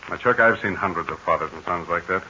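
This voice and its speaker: An actor playing a calm, fatherly heroic aviator in a 1940s radio serial, speaking in measured, assured tones. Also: low-pitched. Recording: dull scratchy 1940 radio transcription.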